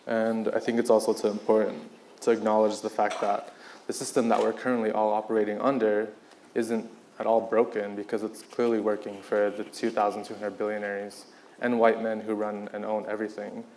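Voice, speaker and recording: A man with a fairly low voice and very flat intonation gives an unscripted, earnest panel talk. He phrases his thoughts haltingly and reflectively, over some background noise. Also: poor audio.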